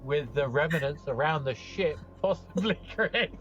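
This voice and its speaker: High-pitched man hosting a role-play game. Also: muffled recording, loud music behind the voice. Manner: halting, searching for words. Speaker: game master, host. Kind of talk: improvised narration and casual chat.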